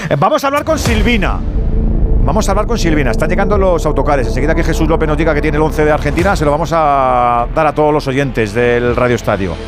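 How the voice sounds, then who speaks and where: A man's voice: fairly high pitch, quick pace, music in the background. A man with a Spanish accent from Spain hosting live sports radio in an effusive, affectionate way.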